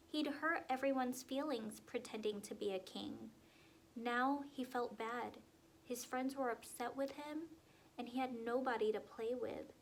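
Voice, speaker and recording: A woman reading a picture book aloud to young children, expressive and asking them questions along the way. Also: fairly high pitch, slight background noise. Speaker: storyteller for children.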